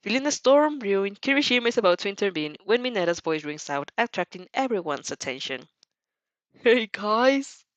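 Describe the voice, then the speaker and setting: Female narrator for audio fanfic, expressive and highly animated, close dry sound, slightly lo-fi. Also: quick pace, few pauses, no echo. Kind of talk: dramatized fan fiction narration.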